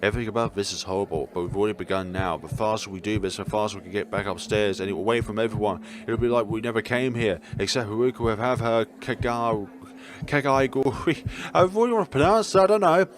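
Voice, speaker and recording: A man's voice: lowish voice, fast. A man reading visual novel narration aloud as a let's player, theatrical but stumbling over words and breaking into jokey asides. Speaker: video game let's player. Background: game music.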